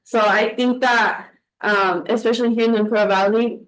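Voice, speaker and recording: A woman's voice: fairly high pitch, fairly even intonation, normal speed, slight background noise, low-quality recording. Bilingual young woman with a Spanish accent. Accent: Mexican Spanish. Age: young adult. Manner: reflective, speaks in long winding stories with restarts. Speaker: podcast co-host. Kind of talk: casual conversation.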